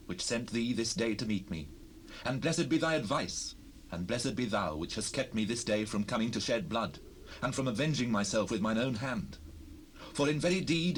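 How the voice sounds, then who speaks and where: Proud, declamatory biblical warrior played by an actor. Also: male voice, mid-range voice, natural voice, rough recording. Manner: declamatory, solemn archaic diction. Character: proud warrior leader, vengeful yet devout. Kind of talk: dramatized King James Bible audio drama. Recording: unprocessed cassette rip with noise.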